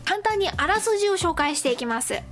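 A woman with a high voice hosts a solo radio-style show. She chats casually to her listeners as she goes through news and announcements. Music plays underneath her talk. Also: expressive, a little fast, fairly bright tone.

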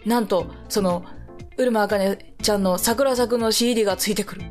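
A woman's voice: mid-range voice, brisk, a little mellow, soft background music. A woman co-hosting a radio show, chatting casually and sharing announcements.

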